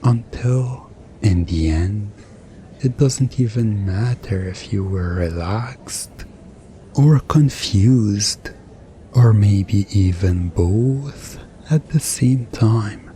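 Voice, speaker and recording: A man performing a hypnosis ASMR roleplay as a hypnotist, speaking in a soothing, deliberate, hypnotic way with pauses. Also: lowish voice, warm tone, a little hiss or hum.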